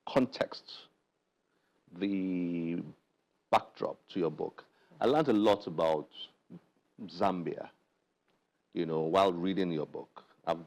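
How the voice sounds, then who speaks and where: A man's voice: low-pitched, darkish tone, rough recording. A man hosting a book interview in a TV studio, asking questions in a friendly way and laughing often. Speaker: host.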